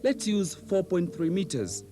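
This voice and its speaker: A man reading scripted instructional narration in a measured, precise, didactic manner, on an old VHS training film soundtrack. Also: high-pitched, expressive, dark tone, faint music behind.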